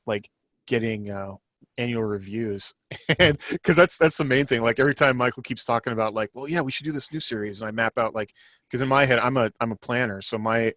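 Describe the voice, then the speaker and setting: A man's voice: medium pitch, a little fast, fairly bright tone, no room sound. A man co-hosting a casual podcast, rambling and thinking aloud as he talks. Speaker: podcast co-host and co-author.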